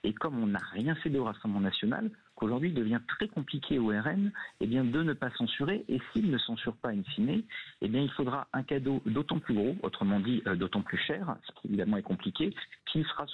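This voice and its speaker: A man's voice: medium pitch, lively intonation, brisk, husky, phone-quality sound. A man giving structured, colloquial political analysis as an expert guest in a radio discussion. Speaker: guest political scientist and law lecturer. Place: radio studio.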